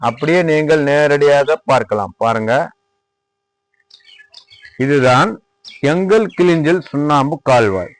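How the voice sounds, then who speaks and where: A man with a Tamil Nadu accent gives an informal product tour and sales pitch, repeating himself as he demonstrates and politely addresses the viewer. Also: lively intonation, a little slow, long pauses, no echo, poor audio. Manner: repetitive, demonstrative, addressing viewer respectfully as sir. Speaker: lime manufacturer presenting own workshop. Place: at a lime kiln worksite.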